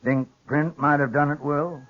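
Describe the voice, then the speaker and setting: Man acting gruff old western rancher, muffled radio. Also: on the high side, fairly even intonation, a little slow, lightly acted. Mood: stern, questioning. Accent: American Western drawl. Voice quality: folksy rural speech. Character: gruff, stubborn old rancher father, quick to anger. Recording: dull old radio recording.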